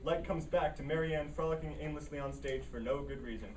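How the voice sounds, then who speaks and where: A matter-of-fact play narrator read by a man with a fairly high voice, delivering scripted stage directions. He sounds some way from the mic, with faint noise around him. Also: fairly quick, darkish tone, recorded from a distance, lightly acted.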